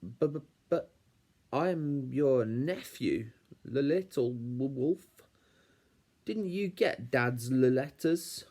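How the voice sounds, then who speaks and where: A man's voice: lowish voice, takes it slowly, a little mellow. A man voicing a young, timid wolf cub in a storybook, with a childlike, wobbly manner that can turn stammering when nervous. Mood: nervous, pleading. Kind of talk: storybook reading as letters.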